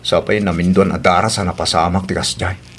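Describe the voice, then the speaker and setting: A man's voice: low-pitched, little pitch movement, a little fast, a bit bright, slight background noise. A Filipino man acting in an audio drama as a tender, apologetic husband who longs for a child. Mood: earnest.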